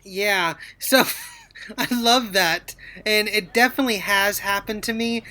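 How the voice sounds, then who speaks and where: Woman cohost, bright kinda sharp voice, jokey and chatty, long stories. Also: mid-range voice, a little slow. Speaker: podcast co-host. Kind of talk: casual chat.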